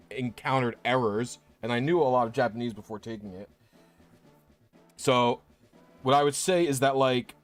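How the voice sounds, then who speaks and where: Rambling young man chatting casually. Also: medium pitch. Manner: rambling, many restarts and fillers. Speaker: streamer. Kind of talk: casual chat.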